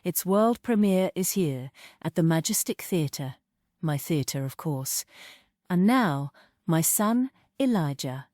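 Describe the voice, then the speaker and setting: A woman's voice: on the low side, lively intonation, slow pace, a little mellow, no echo, studio quality. A woman playing an imperious mother who owns a theatre, acted as in a script table read. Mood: grand, theatrical. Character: imperious theatre-owner mother turned to grief-stricken rage.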